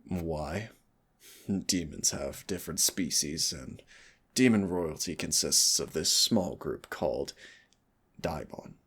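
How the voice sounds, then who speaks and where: Demon prince, played by a man: affectionate, regal, gravelly and slow-spoken, for intimate audio roleplay. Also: rumbling low, expressive, a bit bright, exaggerated voice. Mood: proud, explaining. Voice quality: husky, gravelly. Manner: tender, unhurried, wistful storytelling. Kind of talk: intimate roleplay audio acting, soft and soothing.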